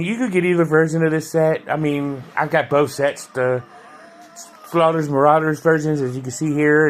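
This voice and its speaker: A man giving a loose, chatty video review of an action figure, with frequent restarts and fillers. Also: medium pitch. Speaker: toy reviewer.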